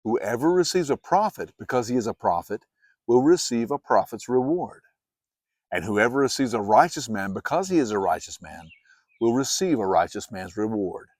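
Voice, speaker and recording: A man reading scripture aloud as a solemn audiobook, with deliberate, emphatic and measured phrasing. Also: medium pitch, sing-song, a little mellow, no room sound, clean studio sound. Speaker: Bible reader.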